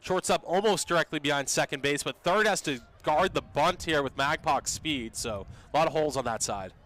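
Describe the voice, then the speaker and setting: Bright-voiced male baseball color analyst. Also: on the high side, a little fast. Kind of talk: live radio sports analysis. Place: ballpark broadcast booth.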